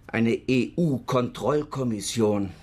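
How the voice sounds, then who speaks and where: An actor playing a secretive government official with a husky voice and a formal, condescending manner, in a radio crime drama. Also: male voice, medium pitch, takes it slowly, darkish tone. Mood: stern, secretive.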